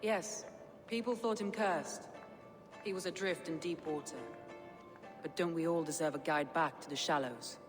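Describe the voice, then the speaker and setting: A proud Viking woman who leads her clan played by an actress in a video game. She speaks deliberately and with authority, composed and shrewd. Her voice carries long reverb over clearly heard music. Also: low-pitched, natural voice. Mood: reflective. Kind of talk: video game dialogue acting.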